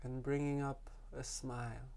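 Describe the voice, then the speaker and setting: A man leading a guided meditation as a teacher, speaking in a soft, calming way with long pauses. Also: medium pitch, creaky.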